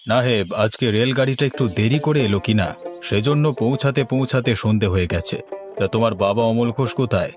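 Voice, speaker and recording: A man's voice: mid-range voice, fast talker, soft background music, natural voice. A man playing a courteous, self-assured city official in a cartoon, speaking politely and formally. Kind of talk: cartoon dialogue.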